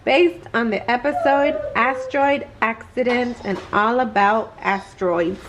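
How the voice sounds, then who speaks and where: High-pitched, slow-paced woman reading a storybook. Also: background noise. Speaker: storybook reader. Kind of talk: children's bedtime read-aloud narration.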